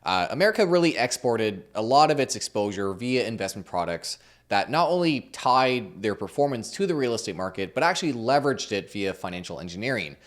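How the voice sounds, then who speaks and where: Man, hoarse, losing his voice, rapid delivery, measured neutral finance commentary. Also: on the low side, sing-song. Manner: measured, neutral explaining with frequent "uh" starts. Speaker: finance channel host. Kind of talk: explainer commentary.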